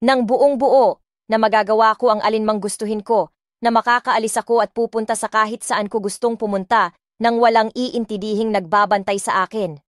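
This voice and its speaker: A woman's voice: a little high, fairly even intonation, moderate pace, no echo, clean studio sound, close to an everyday voice. A woman playing a haughty rich brat. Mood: defiant. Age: 20s. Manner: sharp, bratty, mixes English into speech. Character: rich spoiled young woman, bratty, haughty, defiant. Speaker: voice actor and narrator. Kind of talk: audio drama acting.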